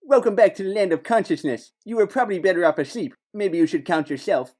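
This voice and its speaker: A man's voice: high-pitched, fast talker, darkish tone. A man playing a sarcastic, competitive detective's partner in an audio drama, with a teasing manner. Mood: sardonic. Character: snarky, competitive male detective sidekick.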